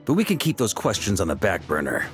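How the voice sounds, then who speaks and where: A man's voice: fairly low pitch, huge pitch swings, fast, loud music behind the voice. An actor voicing a confident male strategist who explains things persuasively and calls out with theatrical flair. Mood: explaining. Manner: persuasive, then showy calling out.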